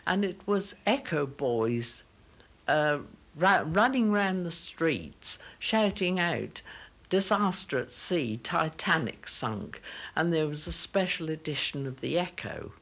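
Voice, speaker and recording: An older woman from southern England recalling childhood memories in a halting oral history manner. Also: deep voice, big pitch swings, fairly bright tone, poor audio.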